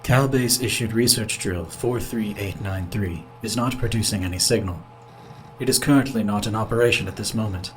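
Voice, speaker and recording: A calm artificial intelligence running a space base, played by a man, in a sci-fi audio drama. The male voice sits fairly low and speaks with flat, measured formality, always obedient. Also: soft background music, lightly acted. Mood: calm, procedural.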